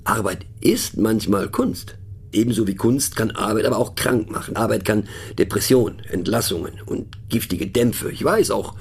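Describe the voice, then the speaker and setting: A man narrating a satirical radio play as a pensive, mocking monologue, musing conversationally with halting, emphatic phrasing. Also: lowish voice, vocal fry, slightly rough. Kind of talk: scripted monologue performed as musing conversational talk.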